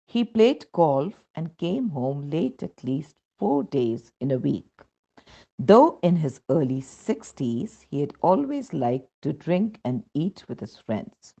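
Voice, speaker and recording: A woman with an Indian accent narrates a devotional testimonial in a low, mellow voice. She takes her time, reading in a measured storytelling way with expressive rises and falls. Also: gravelly. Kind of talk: scripted devotional narration, read aloud. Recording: dull, muffled lo-fi sound.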